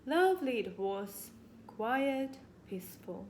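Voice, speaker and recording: A woman's voice: fairly high pitch, sing-song, slow pace, a little mellow, slight background noise. A woman voicing a polite, apologetic, homely mother bear from a children's storybook. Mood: wistful.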